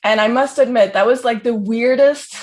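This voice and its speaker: A woman's voice: on the high side, normal speed, a little mellow. A young woman from Geneva, Switzerland, giving an informal autobiographical talk in an effusive, conversational manner peppered with filler phrases. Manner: conversational, effusive, frequent "like" and "you know". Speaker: guest speaker, crypto entrepreneur.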